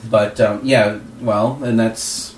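Man in halting group chat. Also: fairly low pitch, fairly even intonation, slight background noise. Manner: halting, repeats phrases. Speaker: voice actor on a round-table. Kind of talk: casual group discussion.

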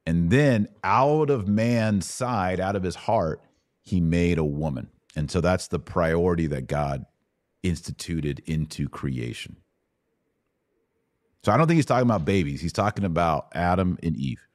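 A man's voice: deep voice, animated, unhurried, dry recording, studio quality. A man hosting a conversational Bible teaching podcast, explaining step by step and often checking that he is understood. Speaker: host and Bible teacher answering questions.

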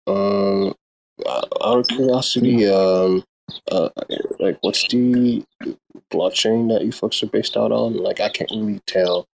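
A man chatting casually and slangily as a guest, with hesitant pauses. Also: fairly low pitch, fairly even intonation, vocal fry, slight background noise, poor audio. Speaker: newcomer guest.

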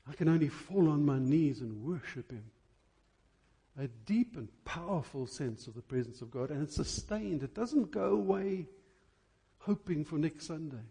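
A male preacher with a slightly high-pitched voice that is soft-edged and husky. He delivers his church sermon emphatically and dramatically, leaning on deliberate repetition to drive each phrase home. The tone stays mellow even as he stresses his words.

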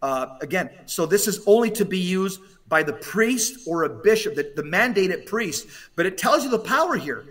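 A man's voice: high voice, very animated, moderate pace, a bit bright. A man giving impassioned religious exhortation as a podcast commentator, urgent and emphatic, often repeating phrases for weight.

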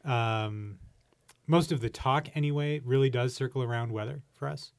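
A man's voice: mid-range voice, normal speed. A man with a Canadian accent hosting a podcast in casual, jokey banter. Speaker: podcast host. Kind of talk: casual chat.

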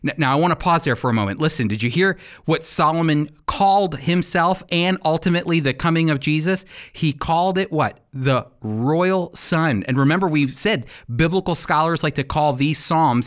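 An American man teaching the Bible like a radio preacher, with emphatic, deliberate phrasing and rhetorical questions. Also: on the high side. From United States. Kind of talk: sermon-style Bible teaching with scripture reading.

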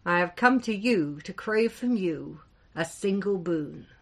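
A woman voicing a huge, calm and menacing male giant who speaks in a booming, confident way. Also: measured pace. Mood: commanding.

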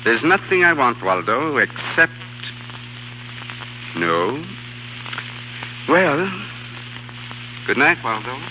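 An actor playing a shrewd, genteel art dealer in a 1930s radio drama, bargaining coolly and politely. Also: male voice, mid-range voice, sing-song, extremely slow, long pauses. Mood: polite, dismissive. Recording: dull 1930s radio with hiss.

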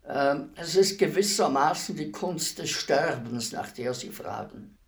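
An actor playing a weary old executioner in a radio play, speaking in a deliberate, ruminative manner with heavy pauses. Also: male voice, a little high, expressive. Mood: humble, pensive. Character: weary old male executioner, fifty years imprisoned, brooding, humble.

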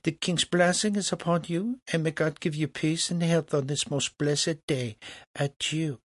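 A man voicing a quick-tempered French king with a shrill, imperious manner. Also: steady tone, no room sound, studio quality. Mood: weary formal.

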